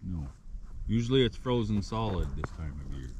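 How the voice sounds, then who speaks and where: A father speaking calmly and matter-of-factly to his kids while ice fishing outdoors. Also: fairly low pitch, normal speed. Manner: calm, matter-of-fact, fatherly. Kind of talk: casual family chat outdoors. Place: outdoors on a frozen lake. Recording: noisy outdoor camera audio.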